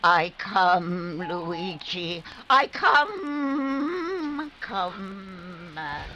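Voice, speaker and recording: A woman's voice: lowish voice, very animated, sharp tone, exaggerated voice. A woman playing a vengeful, taunting widow in melodramatic 1940s radio style. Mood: entranced. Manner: slow, theatrical, taunting. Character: menacing, gloating vengeful widow. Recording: dull, clearly noisy live recording.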